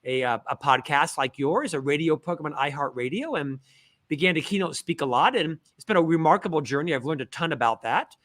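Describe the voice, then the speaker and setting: A middle-aged man from Central Florida, a guest author in a casual interview, telling lively stories with self-deprecating humor. He has a stutter. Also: fairly high pitch, a little fast. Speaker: guest, author and podcast host.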